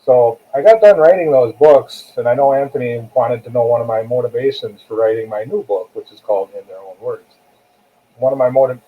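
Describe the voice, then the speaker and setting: A man's voice: medium pitch, breathy edge. Phone-like audio, older American man. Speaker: guest author, retired corrections captain. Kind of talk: conversational interview answers. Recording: tinny, phone-like narrow-band call audio.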